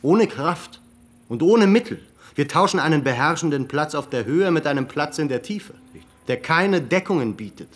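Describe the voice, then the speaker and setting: A resolute commander. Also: male voice, fairly high pitch, lively intonation. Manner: firm, deliberate, commanding. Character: resolute male military leader planning a raid. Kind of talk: German radio play acting.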